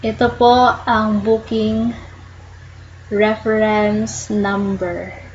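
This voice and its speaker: A woman with a Filipino accent walking viewers through an online process, explaining slowly and patiently while mixing English into Tagalog. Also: medium pitch, level tone, a little mellow, lo-fi sound, a little hiss or hum. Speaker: tutorial host. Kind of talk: casual screen-recorded walkthrough.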